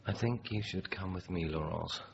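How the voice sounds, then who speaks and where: Armed hostage-taker, radio drama, gravelly, lowish pitch, halting, volatile, noisy recording. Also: male voice, lo-fi sound. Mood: uneasy, bargaining. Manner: halting, repeating himself, swinging to agitated. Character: armed male hostage-taker, volatile, bargaining, oddly protective.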